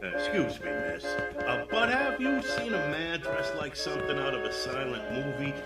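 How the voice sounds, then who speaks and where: A man's voice: on the high side, very animated, moderate pace, over loud music. An actor playing a bumbling, suspicious policeman in a radio drama, hesitant yet trying to be stern. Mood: hesitant, questioning. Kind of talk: comedic radio drama acting.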